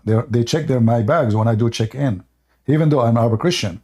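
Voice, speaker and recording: A man's voice: mid-range voice, mellow. A man from the Middle East with an Arabic accent, hosting an online channel and arguing with a caller in a heated live debate, lecturing insistently and repeating his points.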